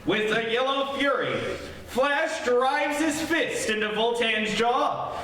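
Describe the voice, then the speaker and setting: Man as a very high-pitched radio serial narrator. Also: lively intonation, takes it slowly, pushed and bright, full character voice. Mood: excited. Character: excitable narrator of a pulp space serial. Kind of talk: dramatic scripted radio narration. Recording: very echoey distant stage recording, clear noise.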